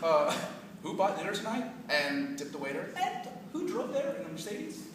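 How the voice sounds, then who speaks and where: A man's voice: high voice, wildly swooping pitch, moderate pace. An American man acting a cocky, pushy banker boyfriend in a staged play reading, cajoling, smug and defensive. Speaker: stage actor. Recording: distant mic in echoey room, clear noise.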